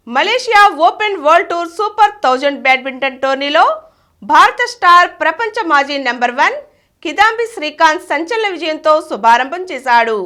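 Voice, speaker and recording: Casting: female news reader, high-pitched and bright, lively intonation through scripted bulletins.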